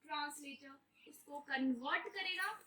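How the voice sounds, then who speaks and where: A young Indian woman with an Indian accent explaining a computer science lesson and mixing English terms into Hindi, reading English definitions slowly. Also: high voice, sharp tone.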